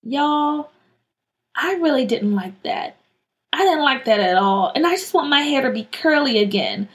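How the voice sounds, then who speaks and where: Lady doing a stubborn excitable schoolgirl, super high and swoopy, storybook character. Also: measured pace. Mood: upset. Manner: eager, dramatic, exclaiming. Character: headstrong excitable schoolgirl wanting straight hair.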